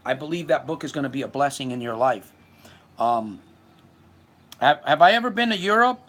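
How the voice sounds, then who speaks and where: A man's voice: mid-range voice, expressive, moderate pace, a bit bright. A man in his fifties from Puerto Rico, giving an earnest self-help livestream talk and answering viewer comments, often repeating words as he goes. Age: 50s.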